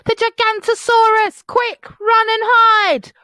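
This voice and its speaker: A woman voicing a cheeky, boastful young boy dinosaur for a storybook, teasing and shouty. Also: very high voice, little pitch movement, slow pace, no room sound, voice pushed up, heavily acted. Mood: alarmed shouting.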